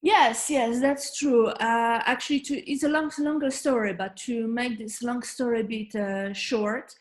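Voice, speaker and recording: A woman from Poland with a Polish accent, a guest in a casual interview, speaking earnestly and often pausing with fillers. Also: on the high side, steady tone. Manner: many fillers and restarts, laughs easily, warm and earnest.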